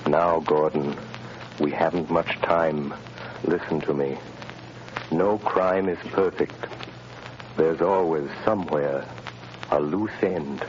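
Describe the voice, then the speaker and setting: A man playing a mysterious invisible crime fighter, speaking in measured, authoritative commands in 1930s radio drama style. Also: low voice, dull audio. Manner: measured, hypnotic, authoritative instructions. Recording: scratchy, hissy 1930s radio broadcast.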